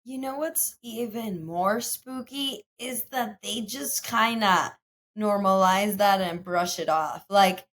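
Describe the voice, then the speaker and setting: A woman's voice: mid-range voice, slow pace, fairly bright tone. Laid-back young woman, laughs easily. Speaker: podcast co-host. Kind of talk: casual chat.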